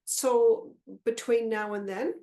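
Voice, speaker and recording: A woman hosting an interview, warmly effusive with praise. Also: a little high, steady tone, slow, a little hiss or hum. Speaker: podcast host.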